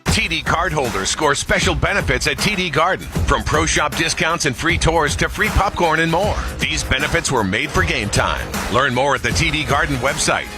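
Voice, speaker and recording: A man with a fairly high voice reads a scripted sponsor spot for radio. Music plays clearly underneath him. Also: expressive, normal speed, few pauses. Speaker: advertisement announcer.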